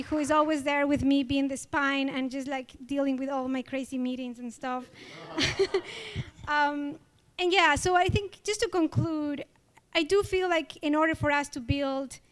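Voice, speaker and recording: A woman from Ecuador with an Ecuadorian Spanish accent, giving an earnest, grateful award acceptance speech with pauses and fillers. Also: sits high, steady tone, fairly bright tone. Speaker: award honoree.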